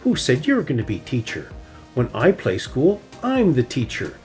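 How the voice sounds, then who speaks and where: A man voicing a stubborn, fiery young female bear cub who shouts when crossed. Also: mid-range voice, huge pitch swings, moderate pace, soft background music. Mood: angry. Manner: shouty, indignant.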